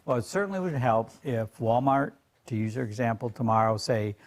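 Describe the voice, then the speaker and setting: A man's voice: mid-range voice, expressive, fairly quick. A man taking part in a live discussion as a panelist, speaking in a conversational way.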